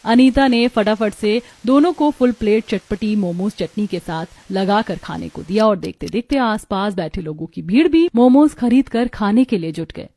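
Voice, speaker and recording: A woman's voice: medium pitch, lively intonation, moderate pace, hardly any pauses, mellow, no room sound. A woman reading scripted narration for a story.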